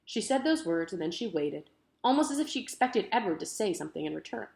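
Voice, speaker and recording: A woman's voice: mid-range voice, very animated, brisk, vocal fry, a little mellow. A woman narrating a children's book aloud expressively, with careful, emphatic storytelling phrasing. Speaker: teacher reading aloud. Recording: roomy echo.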